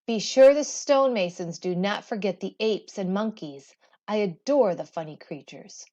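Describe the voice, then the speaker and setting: A woman's voice: mid-range voice, moderate pace, mellow, highs cut off, natural voice. A woman reading a storybook aloud, voicing a proud, imperious and playfully teasing Egyptian queen with regal emphasis. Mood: amused.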